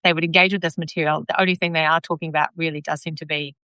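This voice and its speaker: A woman host with a New Zealand accent and a bright, smooth, crisp voice. She speaks in a thoughtful, hedging way, often trailing off mid-phrase, recorded close and dry. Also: low voice, flat delivery, racing, dry recording, poor audio. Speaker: host of live video. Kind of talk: live online discussion.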